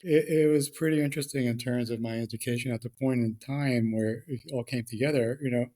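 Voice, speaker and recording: A man's voice: medium pitch, steady tone, warm tone. A middle-aged man from the Bronx, a guest speaking over a remote call, talking casually with many fillers and restarts. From New York, the Bronx. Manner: halting, many fillers and repeated words. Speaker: guest, regulatory affairs expert. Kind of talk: casual interview chat.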